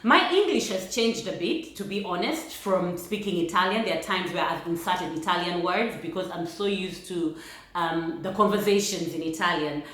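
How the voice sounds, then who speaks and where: A woman's voice: lowish voice, fairly bright tone. Ugandan woman vlogging in an echoey room. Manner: thoughtful, measured, laughs lightly between points. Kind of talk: casual vlog talk to camera.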